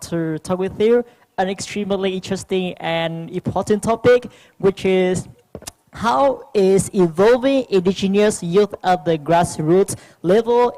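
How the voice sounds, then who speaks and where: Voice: medium pitch, a little mellow. Young speaker with a Vietnamese accent. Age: young adult. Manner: hesitant, halting, many restarts and fillers. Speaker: youth panel speaker. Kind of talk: prepared speech at a youth forum.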